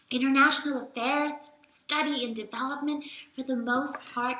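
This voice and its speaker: A woman's voice: sits high, pushed and bright. A woman playing an earnest, socially conscious expatriate scholar, lecturing formally in a staged reading. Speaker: performer in a dramatic reading of emails. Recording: very echoey room.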